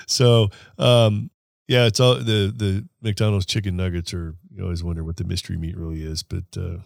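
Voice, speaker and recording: A man's voice: low voice, level tone, moderate pace, vocal fry, no room sound, studio quality. A middle-aged man hosting an interview podcast, rambling, chuckling and trailing off. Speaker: podcast host. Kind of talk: casual interview.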